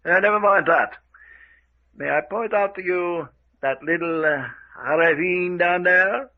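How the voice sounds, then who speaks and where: A man's voice: high-pitched, unhurried. A man playing a gloating, sinister radio-drama villain who speaks in an affected, chuckling way and stammers over repeated words. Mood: taunting, warning. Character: gloating, sinister villain giving menacing guided tour. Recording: dull lo-fi radio recording.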